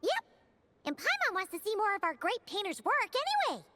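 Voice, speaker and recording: A woman voicing a tiny, excitable childlike fairy girl in a video game, with a squeaky, bubbly, expressive delivery. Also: a little fast, noisy background. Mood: chirpy, eager. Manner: bubbly, expressive, refers to herself in third person.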